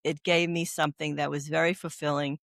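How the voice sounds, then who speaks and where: A woman's voice: sits low, a little fast, vocal fry, dry recording, clean studio sound. Chatty older woman with a Brooklyn accent. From New York. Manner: chatty, self-deprecating, lively storyteller. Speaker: guest, oncology doula. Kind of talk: casual interview talk.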